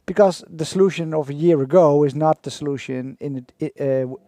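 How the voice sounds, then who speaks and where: A man co-hosting a podcast, talking in a halting way with many fillers and restarts. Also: fairly high pitch, dark tone, dry recording, clean studio sound. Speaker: podcast co-host. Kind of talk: conversational podcast chat.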